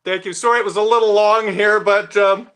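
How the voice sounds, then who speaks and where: Male show host: bright, higher-pitched voice, excited and effusive live delivery. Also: a little fast, clear, resonant tone. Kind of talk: enthusiastic live show hosting and interview.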